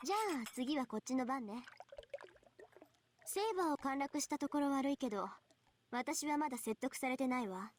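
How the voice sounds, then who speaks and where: A woman's voice: sits high, lively intonation, fast, pauses often, a little hiss or hum. A woman playing a confident, tart teenage girl mage with a lecturing manner in an anime visual novel. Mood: assertive.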